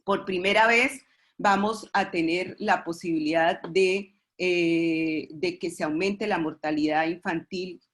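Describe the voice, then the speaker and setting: Female expert presenter, Colombian accent, lowish pitch, formal webinar register, halting with false starts. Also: fairly even intonation, fairly bright tone. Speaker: expert presenter at a webinar, representing a pediatric committee.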